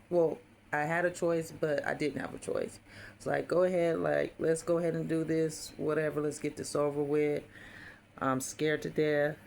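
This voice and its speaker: Woman with a deep, raspy, hoarse voice. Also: slight background noise. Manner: rambling, frequent fillers and restarts. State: hoarse while healing. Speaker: vlogger. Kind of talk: casual storytelling to camera.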